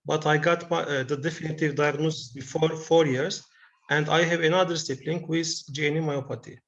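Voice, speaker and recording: Flat, careful man from Turkey. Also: a little high, fairly quick, highs cut off. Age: 40s. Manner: formal, careful. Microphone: video call.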